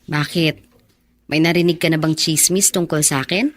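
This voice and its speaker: Low-voiced young Filipina daughter played by an actress. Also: female voice, fairly even intonation, moderate pace, lightly acted. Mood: guarded. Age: young adult. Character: weary, dutiful young daughter working as bar hostess. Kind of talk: Filipino radio drama acting.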